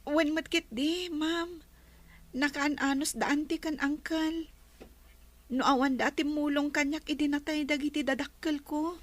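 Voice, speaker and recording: Very high-voiced meek young orphan. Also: female voice, steady tone, a little slow. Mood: subdued, sad. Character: meek, kind orphaned young woman living with relatives. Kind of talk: radio drama acting.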